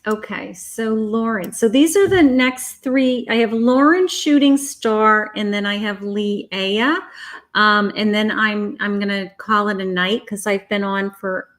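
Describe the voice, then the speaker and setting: A woman's voice: mid-range voice, measured pace, fairly bright tone. A woman doing a live tarot reading, chatty and reassuring, calling viewers honey and sweetheart, her voice starting to wear out. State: losing her voice. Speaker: psychic tarot reader.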